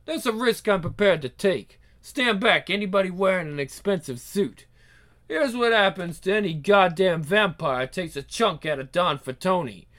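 A man's voice: high-pitched, animated, moderate pace, fairly bright tone. A man playing a thuggish mafia henchman, cocky and cruel, with a gloating, tough-talking manner.

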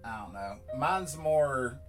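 A man co-hosting a let's play, adding quick offhand comments. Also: mid-range voice, lively intonation, loud music behind the voice. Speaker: co-host. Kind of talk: casual commentary over a game.

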